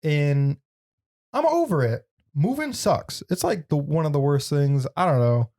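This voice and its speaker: A man's voice: a little high, sing-song, measured pace, a little mellow, no room sound, clean studio sound. Laid-back joking young man. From Michigan. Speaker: podcast co-host. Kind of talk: casual chat.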